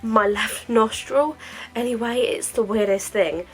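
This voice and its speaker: A woman records a casual diary vlog at home, her voice stuffed up from a head cold. She rambles candidly, full of fillers and restarts, and soft music plays faintly behind her. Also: fairly high pitch, unhurried, rough recording. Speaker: vlogger.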